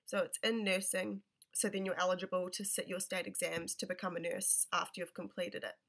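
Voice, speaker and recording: Brief: twenties New nurse vlogger, stuffy and nasal, gravelly edges, casual and halting. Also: female voice, lowish voice, little pitch movement, fairly bright tone. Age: 20s. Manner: rambling, many ums and restarts. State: nasal from a cold. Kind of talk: casual advice vlog. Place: small bedroom, indoors. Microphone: webcam at close range.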